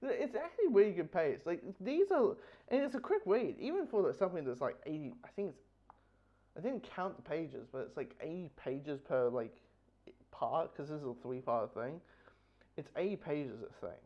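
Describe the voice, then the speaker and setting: A man's voice: fairly high pitch, lively intonation, moderate pace. A man giving a casual spoken comic book review, rambling and repeating phrases as he goes. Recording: dull, lo-fi audio.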